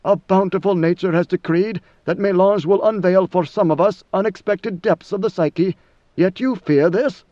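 A man voicing a cold, commanding ancient ruler in a measured, lofty way. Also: high-pitched, warm tone, dry recording, highs cut off, lightly acted. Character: ancient imperious male god-emperor, coldly commanding.

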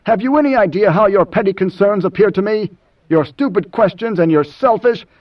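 A man voicing a world-weary older majordomo with a measured, cutting, lecturing manner and quiet command, in an audiobook. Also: a little high, far from the mic, dull audio, lightly acted. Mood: impassioned, scornful.

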